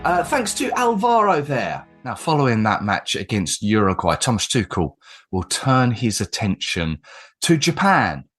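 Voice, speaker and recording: Lively-voiced English podcast host. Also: male voice, mid-range voice, a little slow, soft background music. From England. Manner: chatty, rambling with restarts. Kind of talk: casual podcast interview.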